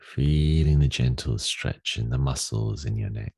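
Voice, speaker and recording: A man leading a guided meditation as a teacher, giving gentle, unhurried instructions with long pauses in a gravelly, husky voice. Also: very deep voice. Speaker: meditation teacher leading a daily group session.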